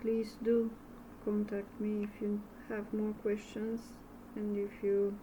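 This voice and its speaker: A woman from Bulgaria with a Bulgarian accent, a native Bulgarian speaker, teaching an art method in a casual demo and often pausing to search for words in English. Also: mid-range voice, completely flat delivery, noisy background. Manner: slow, halting, searching for words. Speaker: art instructor.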